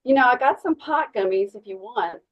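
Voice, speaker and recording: A woman's voice: on the high side, lively intonation, brisk, close to an everyday voice. A woman playing a friendly, generous young concession stand worker in a script read, heard through a muffled microphone. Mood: sympathetic.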